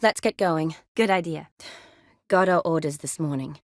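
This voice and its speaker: An actress playing a young woman army medic in a video game, caring, playful and briskly practical, with a natural, teasing delivery. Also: low-pitched, dry recording, studio quality. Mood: businesslike. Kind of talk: video game character dialogue.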